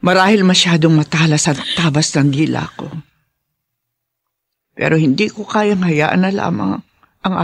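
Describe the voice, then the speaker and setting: A woman's voice: on the low side, gravelly, husky, heavily acted. A Filipino woman playing a stern, wounded older mother-in-law in a radio drama, emotional and reproachful. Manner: reproachful, emotional, sharp-tongued.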